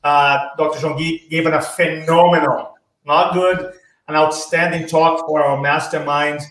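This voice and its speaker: A man's voice: a little high, fairly even intonation, a bit bright. Enthusiastic man in an echoey room. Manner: enthusiastic, praising, halting with "uh" fillers. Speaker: speaking coach and host. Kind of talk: interview over video call.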